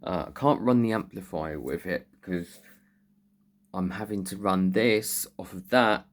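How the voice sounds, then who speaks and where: A man's voice: sits low, a little slow. A man chats informally, thinking aloud as he shows and explains radio gear like a hobbyist presenting a video. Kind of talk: casual chat, showing and explaining radio gear. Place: indoors at home beside radio equipment. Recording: very noisy room, loud radio static. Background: loud CB radio noise.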